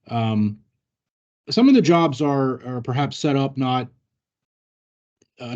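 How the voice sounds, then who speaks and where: Man, training presenter, mellow relaxed voice, hesitant measured phrasing, dull lo-fi meeting recording. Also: mid-range voice. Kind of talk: explanatory workplace training talk.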